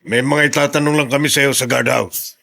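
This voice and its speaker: A man's voice: medium pitch, steady tone, normal speed, creaky, pushed and bright. A man playing a suspicious but deferential security guard in a Tagalog radio drama. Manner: brusque questioning, respectful to superiors.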